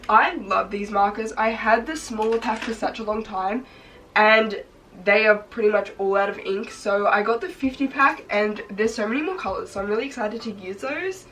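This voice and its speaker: A teenage girl, her voice hoarse and congested, chatting upbeat with lots of "like" fillers as she makes a craft project on her bed. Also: medium pitch, a bit bright, distant mic, a little hiss or hum, low-quality recording. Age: teens. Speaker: vlogger. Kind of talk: casual chat while crafting. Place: bedroom, sitting on her bed.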